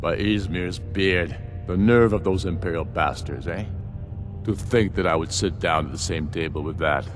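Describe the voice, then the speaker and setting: An actor playing a proud, belligerent rebel warlord, indignant and commanding, in a fantasy game. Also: male voice, sits low, moderate pace, natural voice. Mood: outraged. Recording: long hall reverb, faint music.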